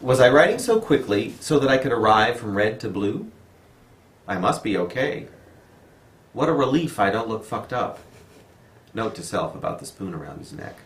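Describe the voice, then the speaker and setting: A man reading poetry aloud as a poet at a live home reading. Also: mid-range voice. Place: room in a home. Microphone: camera microphone, no dedicated mic. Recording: roomy echo, faint noise, amateur video.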